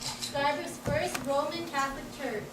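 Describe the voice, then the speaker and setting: Children's puppet show champ female performer, bantering and falsely modest, high voice in a noisy room. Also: steady tone, a little slow. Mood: chiming in. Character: showboating male boxing champion puppet. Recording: echoey, distant, noisy room.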